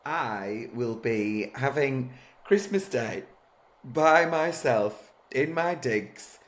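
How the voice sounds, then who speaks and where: A man with a hoarse voice from a cough, chatting casually and playfully as co-host. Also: medium pitch, expressive, drawn-out words. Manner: camp, playful, jokey. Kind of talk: casual chat.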